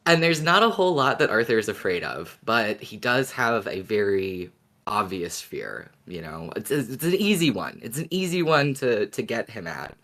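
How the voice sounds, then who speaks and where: Guy podcast cohost, deeper voice, casual, kinda halting and repeats himself. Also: big pitch swings, bright, forceful tone. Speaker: podcast co-host. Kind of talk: casual chat.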